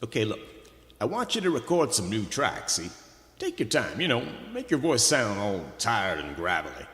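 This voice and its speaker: A man's voice: on the low side, big pitch swings, fairly bright tone. Man playing a swooping, slick record executive in echo. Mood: pushy, excited. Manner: fast-talking salesman patter with wheedling asides. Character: slick, pushy, greedy male record company executive. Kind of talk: comic radio play acting. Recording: echoey, long reverberant room sound.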